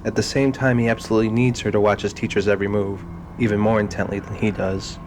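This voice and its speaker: A man's voice: on the low side, flat delivery, fast, background noise. A man reading scripted, dramatic narration for an audio drama. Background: music.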